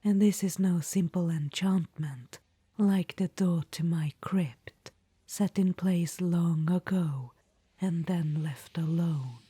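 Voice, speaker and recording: A woman's voice: on the low side, slow, dark tone, dry recording, studio quality, full character voice. Woman whispering as a languid undead witch-queen. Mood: languid, conspiratorial. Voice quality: breathy whisper. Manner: whispering, calls the listener darling. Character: undead witch-queen, ancient, vain, imperious. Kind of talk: ASMR audio roleplay.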